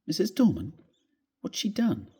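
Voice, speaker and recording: A man's voice: a little high, huge pitch swings, moderate pace, warm tone, husky, voice pushed up, exaggerated voice. A man voicing a doting, concerned young husband in a storybook reading, earnest and coaxing. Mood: alarmed.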